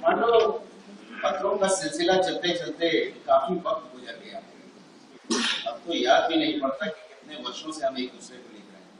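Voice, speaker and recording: A man's voice: fairly high pitch, steady tone, normal speed, a little hiss or hum. An older man on an amateur stage reading letters aloud to an old friend, wistful and playful in turn, in a large auditorium. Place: large auditorium, no sound system. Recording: echoey auditorium from far off.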